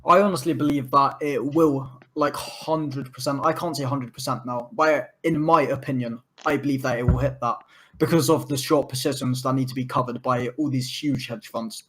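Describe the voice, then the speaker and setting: A man of middle pitch talks in a relaxed, loose way, using "like" as a filler. A faint hiss sits behind his voice. He co-hosts a casual livestream. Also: normal speed.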